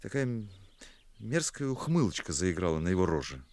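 An actor playing an awkward, fastidious, earnest young musician in a radio play, hesitant and polite. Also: male voice, low voice, sing-song, a little mellow, dry recording. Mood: disgusted. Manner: hesitant, polite, easily indignant.

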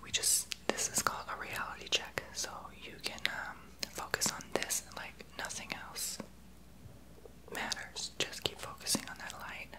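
A man's voice: moderate pace, lightly acted, poor audio. A young man voicing a sassy, unqualified therapist in a whispered ASMR roleplay. He whispers blunt, sarcastic remarks close to the mic in a small home office. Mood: condescending. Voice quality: soft unvoiced whisper. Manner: blunt, sarcastic, gossipy sass. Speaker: ASMR creator.

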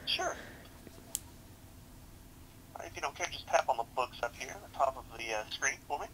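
A man's voice: medium pitch, expressive, brisk, sharp tone, slight background noise, poor audio. A young man working as a tech support advisor on a headset, politely and informally walking a customer through steps. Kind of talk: customer service walkthrough. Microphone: headset on a video support call.

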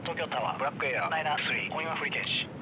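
Very fast-talking airline pilot. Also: male voice, a little high, lively intonation. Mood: businesslike. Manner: clipped, procedural. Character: businesslike male airline pilot on radio. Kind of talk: scripted radio readbacks. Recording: muffled radio with aircraft noise.

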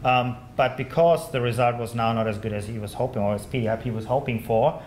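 A man's voice: medium pitch, a little fast, a little mellow. A man on a panel answers an audience question with a plain, explanatory delivery. He speaks in an echoing lecture hall. A faint hum runs under his voice. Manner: explanatory, matter-of-fact. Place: lecture theatre. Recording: echoey hall.

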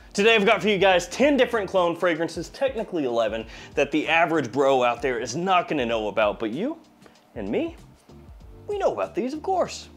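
Playful man with very lively pitch. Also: moderate pace, soft background music. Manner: playful, jokey, wry asides. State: slight head cold. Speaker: fragrance review channel host. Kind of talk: casual video product review. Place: small indoor studio.

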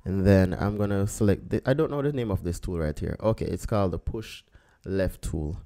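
A man, a photographer, talking through a photo edit step by step in a relaxed screen-recorded tutorial, thinking aloud as he works. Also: deep voice, moderate pace. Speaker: photographer teaching photo editing.

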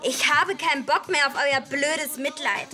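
A woman's voice: sits high, a little slow, pushed and bright, soft background music. A young actress playing a proud, angry teenage schoolgirl in a TV drama, indignant and defiant. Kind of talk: scripted TV drama dialogue.

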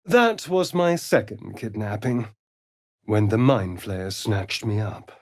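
A man's voice: fairly low pitch, lively intonation, takes it slowly, dry recording, clean studio sound, full character voice. A male actor with an English accent voicing a sardonic, seductive, vain vampire rogue in a video game, with an arch, flamboyant drawl. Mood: brooding, earnest. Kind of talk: theatrical video game dialogue.

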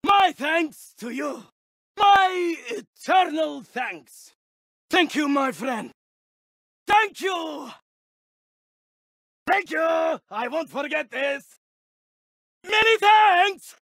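A man playing a loud, dramatic medieval eastern warrior in a video game, with emphatic, declamatory delivery. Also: very high-pitched, expressive, very slow, creaky, long pauses, dry recording, clean studio sound, heavily acted. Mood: apologetic, courteous. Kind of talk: video game battle voice lines.

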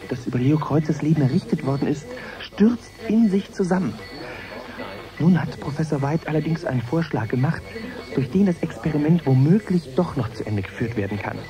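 A man's voice: a little high, natural voice. An actor playing an earnest male reporter giving a formal, explanatory report in a radio play. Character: earnest live reporter covering a scientific experiment. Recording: crowd murmur behind the voice.

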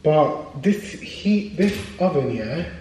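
A man's voice: a little high, lively intonation, takes it slowly, a little mellow, slight background noise, poor audio. A man in his thirties with a London British accent, chatting casually and candidly in slang as a vlogger, recorded indoors on a camera mic. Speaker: YouTube vlogger. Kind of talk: casual vlog chat. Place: indoors in a flat: kitchen, living room, bedroom.

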